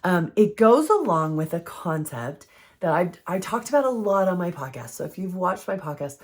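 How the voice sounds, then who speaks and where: A woman with a froggy, hoarse voice from recent illness, giving an earnest, conversational faith-based health teaching. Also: on the low side, sing-song, moderate pace. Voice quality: froggy, strained voice.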